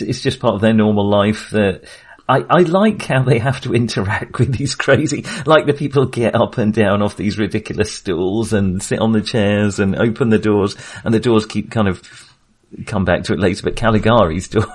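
A man co-hosting a podcast, discussing film casually in a halting, think-aloud way. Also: on the low side, husky. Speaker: podcast co-host.

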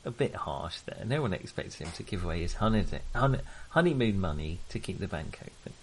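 A man's voice: low voice, big pitch swings, a little fast, a crowd in the background. A man co-hosting a podcast, chatting casually about films in a mild, conciliatory, hedging manner. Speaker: podcast co-host. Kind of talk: casual film chat.

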